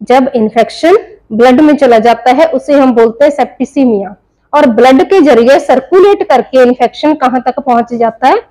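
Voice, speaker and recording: High, mellow-voiced woman tutor. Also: normal speed, rough recording. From India. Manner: explains in Hindi mixed with English terms, asks and answers own questions. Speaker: nursing tutor. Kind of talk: explanatory lecture.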